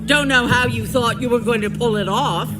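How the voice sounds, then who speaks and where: An actress playing a cold, ruthless survivor town leader in a video game, theatrical, menacing and mock-sincere. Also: female voice, a little high, normal speed, music in the background, lightly acted. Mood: coolly menacing. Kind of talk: dramatic video game acting.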